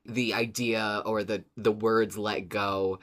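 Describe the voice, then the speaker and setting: A woman's voice: extremely low pitch, little pitch movement, takes it slowly, a bit bright. A woman co-hosting a casual fan podcast, talking in an effusive, emphatic, sweary way. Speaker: podcast co-host.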